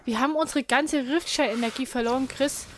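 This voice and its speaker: A woman's voice: high-pitched, a little fast, fairly bright tone, slight background noise. A woman chatting casually as co-host of a video game let's play. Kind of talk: casual gaming chat. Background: game music.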